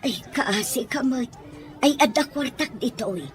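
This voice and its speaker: A woman's voice: sits high, unhurried. A Filipino woman playing a kindly, humble, motherly servant, emotive and deferential, in a melodramatic audio drama.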